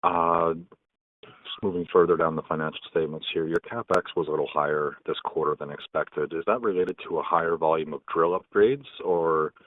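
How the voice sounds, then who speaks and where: Analyst guy, really low voice, polite questions, dry phone audio. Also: fairly even intonation, fairly quick, no room sound. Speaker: financial analyst caller. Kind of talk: polite questioning on an earnings call. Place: conference call. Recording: dry, tinny phone line.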